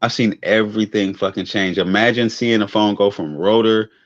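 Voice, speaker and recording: A man's voice: lowish voice, fairly even intonation, normal speed, no room sound. A man in his forties chatting casually on an online show, laid-back and rambling, swearing now and then. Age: 40s. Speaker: co-host. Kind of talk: casual chat. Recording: dull lo-fi sound.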